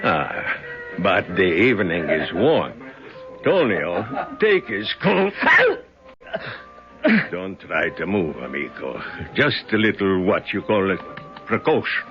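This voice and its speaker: A man's voice: low voice, very animated, slow, pauses often, music in the background, exaggerated voice. An actor with an Italian accent playing a large, menacing male nightclub bouncer in a radio drama, silky and threatening. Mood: quiet menace. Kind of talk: 1970s radio drama acting. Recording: dull lo-fi radio recording.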